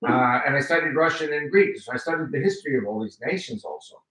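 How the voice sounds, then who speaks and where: An older man in his seventies gives an animated personal testimony, his voice bright and forceful. He acts out quoted exchanges as he tells his story. He is heard from a distance in a roomy, echoing hall. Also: on the high side, fast talker. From United States. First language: Spanish. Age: 70s. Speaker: guest speaker giving testimony. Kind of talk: personal testimony to a live audience. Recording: roomy hall, voice picked up from afar.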